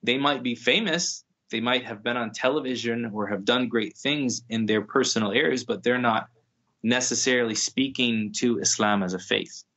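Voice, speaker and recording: A man's voice: medium pitch, moderate pace, sharp tone, lo-fi sound. A man in a podcast conversation as a guest scholar, explaining at length in a thoughtful way, with many restarts and fillers. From United States.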